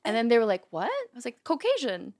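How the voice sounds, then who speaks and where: A woman's voice: fairly high pitch, huge pitch swings, fairly quick, darkish tone. A young woman from the Philippines, a Filipino native speaker, chatting as a podcast guest and telling stories with frequent laughs. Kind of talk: casual chat.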